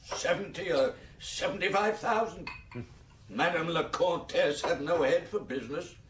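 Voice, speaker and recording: An actor playing a middle-aged estate steward in an audio drama, aggrieved and gossipy, with a grumbling, knowing manner. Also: male voice, on the high side, a little slow, a bit bright.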